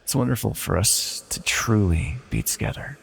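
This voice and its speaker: An ancient godlike male villain, played by a man, speaks in a heavily creaking voice with a breathy edge. He is hushed and deliberate, coaxing the listener tenderly as he manipulates them. It is intimate ASMR fantasy roleplay. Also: lively intonation, long reverb tail, exaggerated voice. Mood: tender, teasing. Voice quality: gravelly, breathy low voice.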